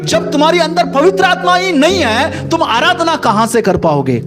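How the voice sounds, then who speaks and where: A man preaches in a very high-pitched voice as a Pentecostal pastor. He exhorts and repeats himself, urging listeners to answer him. Music plays clearly behind his voice. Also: sing-song, normal speed. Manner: exhortatory, repetitive, prompting listeners to respond. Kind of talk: Pentecostal sermon with call-and-response.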